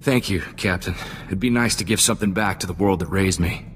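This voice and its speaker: A man's voice: low voice, a little fast, fairly bright tone, a little hiss or hum. A man playing an earnest, loyal young sidekick in a space-adventure game, talking in a plainspoken, folksy, reflective way. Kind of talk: video game cutscene dialogue.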